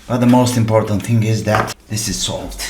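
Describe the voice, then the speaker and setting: A man who speaks English as a second language, giving casual hands-on repair commentary as a channel host, haltingly thinking aloud while he works. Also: fairly low pitch, fairly even intonation, noisy background. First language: non-native English speaker.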